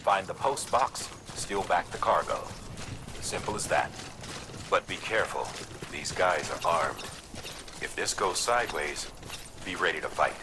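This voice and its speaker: A man's voice: low voice, lively intonation, measured pace, long pauses, noisy background. A man playing a world-weary commander in a video game, briefing with blunt authority. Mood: commanding. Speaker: commander character.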